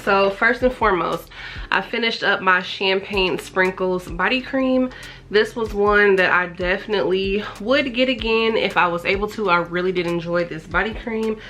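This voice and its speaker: Congested, slightly raspy woman vlogger. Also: medium pitch, moderate pace, a bit bright. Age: 30s. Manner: chatty, enthusiastic about scents. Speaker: beauty and fragrance vlogger. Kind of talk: casual product review to camera. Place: small bedroom, indoors. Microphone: compact camera's built-in mic at close range.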